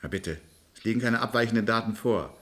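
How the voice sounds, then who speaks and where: An actor playing a calm, confident male technician in a science-fiction radio play, factual and assured. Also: on the low side, brisk, close to an everyday voice.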